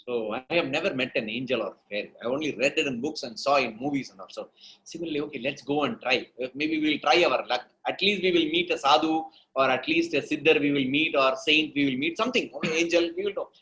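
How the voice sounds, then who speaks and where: A man's voice: fairly high pitch, quick pace, bright, forceful tone, rough recording. A man with an Indian accent giving conversational answers as an interview guest, teaching emphatically, repeating phrases and checking in with the listener. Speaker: guest speaker, storytelling coach.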